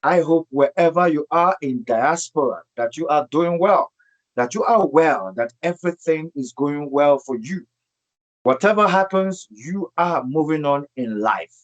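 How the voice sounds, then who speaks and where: Higher-pitched male talk show host. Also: expressive, unhurried, muffled recording. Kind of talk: online TV interview, show introduction.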